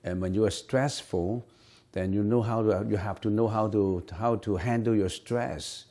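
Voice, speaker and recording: Low-voiced Cantonese-speaking monk, halting. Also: male voice, fairly even intonation, warm tone. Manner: halting, many fillers and restarts. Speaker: Buddhist monk teacher. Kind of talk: unscripted dharma talk.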